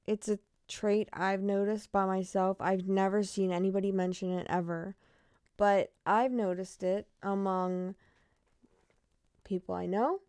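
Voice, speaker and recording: A woman in her thirties chatting casually on a stream, rambling with frequent laughs and asides, sometimes dropping to a soft murmur. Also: medium pitch, steady tone, measured pace, clean tone. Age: 30s. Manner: drops to a soft murmur when talking to her pet. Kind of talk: casual stream chat reacting to a true crime case.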